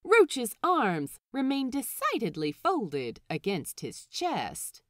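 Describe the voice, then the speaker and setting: A woman reading a story aloud as narrator, in an expressive, scripted storytelling style. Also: fairly high pitch, slow pace, no echo, studio quality.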